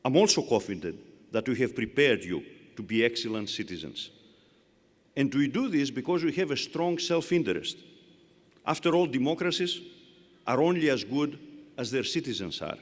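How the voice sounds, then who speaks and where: A man's voice: mid-range voice, expressive. Brief: middle-aged man, Greek accent, formal oratory, long arena reverb. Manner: measured, emphatic oratory. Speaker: university chancellor. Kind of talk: commencement speech. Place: large arena.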